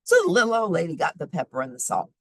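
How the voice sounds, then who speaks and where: A woman reading a children's story aloud expressively, with sing-song repetition and deliberate emphasis. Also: on the low side, wildly swooping pitch, dry recording, studio quality. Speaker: storybook reader.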